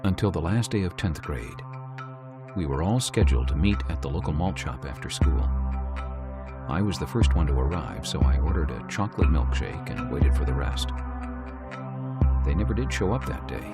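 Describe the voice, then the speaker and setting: A man's voice: sing-song, moderate pace, over music, full character voice. A man in an amateur audio drama playing a grave, kindly older man who guards an old secret, telling it solemnly. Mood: wistful, somber. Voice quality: very deep voice.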